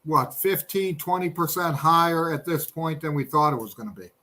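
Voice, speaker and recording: A man's voice: on the high side, moderate pace, sharp tone. A man talking bluntly in short remarks during a casual stream chat. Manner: blunt and brief.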